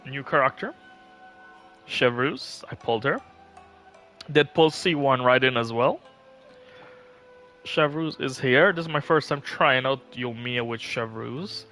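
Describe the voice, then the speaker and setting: A man's voice: mid-range voice, a little slow, long pauses, sharp tone, faint music behind. A man hosting a gaming livestream, chatting casually and thinking aloud in a conversational way. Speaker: live streamer, channel host.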